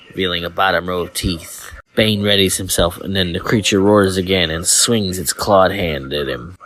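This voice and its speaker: Male storyteller for fan fiction audio, deep-pitched, scripted narration. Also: a bit bright, poor audio.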